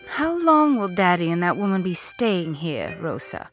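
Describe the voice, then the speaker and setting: A woman's voice: lowish voice, big pitch swings, a little slow, faint music behind. A woman playing a haughty, scheming rich daughter in an audio soap parody, with an affected, imperious, melodramatic delivery. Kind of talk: comic audio soap opera acting.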